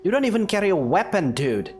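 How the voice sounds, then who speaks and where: A woman's voice: medium pitch, big pitch swings, a little fast, a little mellow, soft background music, close to an everyday voice. A woman voicing a blunt, practical, skeptical female warrior in an anime game, with dry, questioning delivery. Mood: incredulous.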